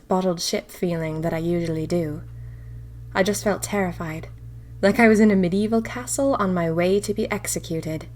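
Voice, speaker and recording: A woman's voice: mid-range voice, lightly acted. A woman playing a theatrical, wry young woman of the 1920s confiding anxiously in her diary for an audio drama. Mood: weary, wry. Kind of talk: first-person audio drama diary narration.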